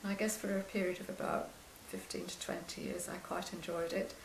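An older woman with a quiet voice, recalling her career as a lecturer in an interview, soft-spoken and hesitant with many restarts. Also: fairly low pitch, steady tone, a little fast, gravelly, reverberant, slight background noise, poor audio. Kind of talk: oral history interview.

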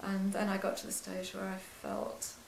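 An older woman with a quiet voice, recalling her career as a lecturer in an interview, soft-spoken and hesitant with many restarts. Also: mid-range voice, monotone, moderate pace, creaky, roomy sound, a little hiss or hum, rough recording. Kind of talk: oral history interview.

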